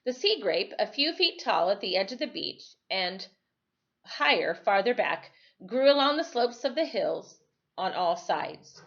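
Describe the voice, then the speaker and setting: A slightly muffled, lo-fi recording of a woman reading a storybook aloud. Her pitch is medium and moves up and down in a very animated way. She phrases each part expressively and deliberately, like a teacher at storytime. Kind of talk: expressive read-aloud of a children's novel.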